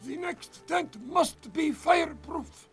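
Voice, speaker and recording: Tiny fussy cartoon scorpion, super high creaky voice, loud music behind. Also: male voice, slow, heavily acted. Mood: fretful. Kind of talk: cartoon creature acting.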